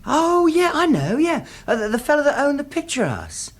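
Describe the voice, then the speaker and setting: Radio drama casting: shifty working-class English chancer, colloquial, stumbling, extremely animated intonation. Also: male voice, very high-pitched, normal speed, heavily acted. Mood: evasive. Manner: hesitant, evasive, colloquial. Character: shifty, cheeky working-class male suspect.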